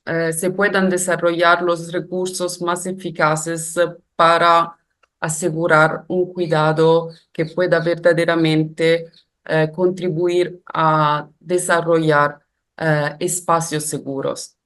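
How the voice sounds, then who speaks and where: A woman's voice: low voice, flat delivery, takes it slowly, fairly bright tone. A woman with an Italian accent giving a careful, reflective webinar lecture in a non-native language, with frequent hesitations. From Italy. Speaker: guest lecturer.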